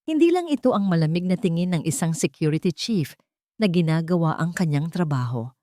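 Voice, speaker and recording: Lower-voiced woman narrating a drama. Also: expressive, brisk, dark tone, no echo, studio quality. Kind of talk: dramatic scripted story narration.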